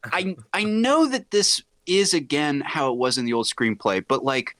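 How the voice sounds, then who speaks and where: A man chatting casually as a podcast panelist, animated and slipping into acted-out dialogue as he talks. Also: a little high, moderate pace, dry recording. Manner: animated, acts out imagined dialogue. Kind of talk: casual pop-culture podcast chat.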